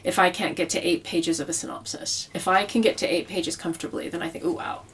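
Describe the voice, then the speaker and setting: A woman's voice: on the low side, fast, fairly bright tone, breathy edge, poor audio. A woman speaking as a novelist in an interview, explaining her craft conversationally and repeating phrases for emphasis. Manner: explanatory, with emphatic repetition for effect.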